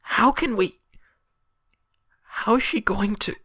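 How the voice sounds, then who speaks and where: A brash pegasus mare, voiced by a man, high and husky with a strained edge. She is defiant and anguished, a storybook heroine pushed too far. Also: slow pace, sharp tone, no room sound, clean studio sound, full character voice. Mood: distraught. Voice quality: strained, hoarse.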